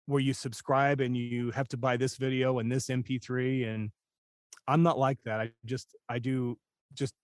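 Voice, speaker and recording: A man's voice: mid-range voice, darkish tone, dry recording. Dry-sounding man, candid and conversational. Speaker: voice-over actor and coach, guest. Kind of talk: casual conversational interview talk.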